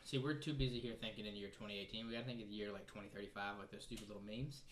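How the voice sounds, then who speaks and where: Quick-talking man in casual banter. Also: on the low side, fairly even intonation, a bit bright, a little hiss or hum. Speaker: podcast co-host. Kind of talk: casual round-table gaming chat.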